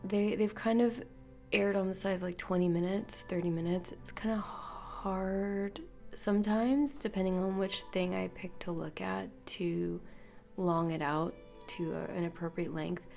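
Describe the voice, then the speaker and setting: A woman hosting a solo podcast, rambling softly and half-whispering, her voice nasal and congested from illness. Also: medium pitch, steady tone, unhurried, vocal fry, faint music behind. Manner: soft, half-whispered, meandering. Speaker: podcast host. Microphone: podcast microphone.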